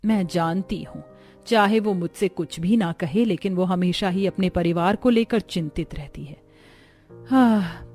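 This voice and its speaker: A woman's voice: lowish voice, mellow. A woman voicing a stern, wealthy middle-aged mother-in-law in a cartoon story, with a commanding, brooding manner. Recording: clear music bed behind voice.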